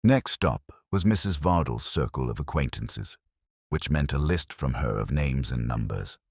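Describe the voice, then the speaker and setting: A man's voice: rumbling low, animated, measured pace, slightly rough, no echo, clean studio sound. A man reading scripted first-person hardboiled detective narration as an audiobook narrator.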